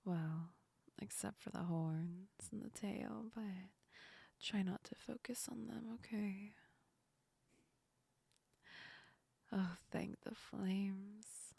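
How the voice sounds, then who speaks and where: A woman playing a teasing yet comforting female sleep demon in a whispered ASMR roleplay, breathy and soft, speaking close to the ear. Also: mid-range voice, steady tone, takes it slowly, dry recording, exaggerated voice. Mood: gentle, soothing. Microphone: very close ASMR microphone.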